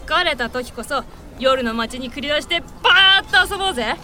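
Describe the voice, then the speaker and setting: A woman voicing a brash, hot-tempered devil in a radio drama, with rough, masculine, goading speech. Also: high-pitched, expressive, normal speed, music in the background, a crowd in the background.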